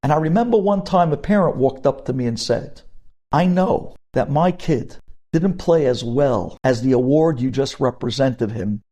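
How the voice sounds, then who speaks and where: A man's voice: mid-range voice, animated, normal speed, dark tone. A man giving a religious ethics lecture as a rabbi, speaking emphatically and putting rhetorical questions straight to his listeners.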